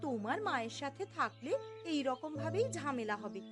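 A woman's voice: sits high, lively intonation, normal speed. A woman voicing a stubborn, demanding young daughter-in-law in a cartoon, whiny and argumentative. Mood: defiant, stubborn. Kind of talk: Bengali cartoon voice acting. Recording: loud music behind the voice.

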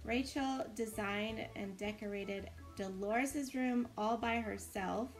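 A woman's voice: medium pitch, takes it slowly, soft background music. A woman giving casual, encouraging commentary as host of a game build tour.

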